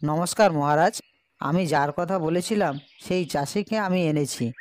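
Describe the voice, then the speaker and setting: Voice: medium pitch, unhurried, a little mellow, slight background noise, lightly acted. An eager young cartoon villager, voiced by an actor. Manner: eager, polite. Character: eager young male villager, helpful friend. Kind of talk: cartoon voice acting.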